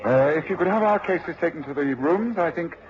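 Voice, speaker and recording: An old, muffled radio recording of a man acting an urbane British detective. He talks quickly in a fairly high, wry, animated voice. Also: close to an everyday voice. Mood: courteous. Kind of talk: mid-century radio drama acting.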